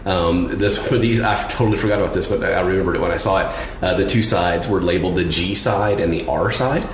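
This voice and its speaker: A low-pitched man talks in a roomy, echoing space with clear noise behind him. He is a podcast host reviewing music casually, explaining things as he goes in loosely hedged phrases. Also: fairly quick. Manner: explanatory, frequent hedging with "like" and "sort of". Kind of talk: casual album review chat.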